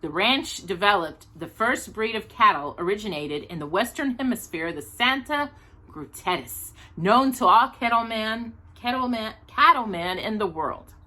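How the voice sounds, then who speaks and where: Bright, lilting woman reading aloud. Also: mid-range voice, expressive, normal speed, low-quality recording. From Texas, United States. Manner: conversational, curious, musing aloud. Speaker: host of a storytime read-aloud show. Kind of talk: reading a children's picture book aloud with chatty asides.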